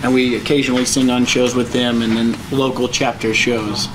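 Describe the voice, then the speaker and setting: A man's voice: mid-range voice, flat delivery, moderate pace, background noise. A man in his twenties, a quartet singer and studio guest, giving polite plain answers into a stand mic. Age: 20s.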